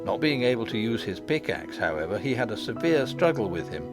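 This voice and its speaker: Animated male storybook narrator over music. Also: mid-range voice, brisk. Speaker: audiobook narrator. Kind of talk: scripted storybook narration with character voices.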